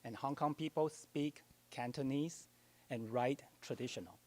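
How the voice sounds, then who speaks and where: A man's voice: medium pitch, unhurried, dark tone. A middle-aged man from Taiwan with a Taiwanese accent, a translator giving a public talk at a library, speaking hesitantly with many ums and light self-deprecating humor.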